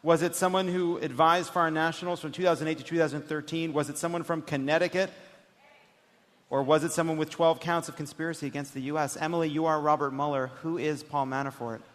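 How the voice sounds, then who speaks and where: High-voiced man hosting in a boomy hall. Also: fairly even intonation, quick pace. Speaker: comedy show host and quizmaster. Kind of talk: live comedy panel quiz hosting. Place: live stage before an audience. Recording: echoey hall, loud background noise.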